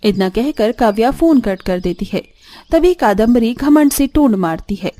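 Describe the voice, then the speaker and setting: A woman's voice: a little high, animated, a little slow, warm tone, soft background music. A woman giving dramatic scripted narration as the storyteller of a tale.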